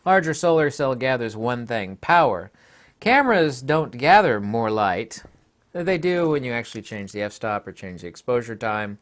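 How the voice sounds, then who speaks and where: A man's voice: medium pitch, fairly bright tone. A man giving an opinionated photography vlog monologue, speaking in an emphatic, blunt and scornful way. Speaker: photography YouTuber.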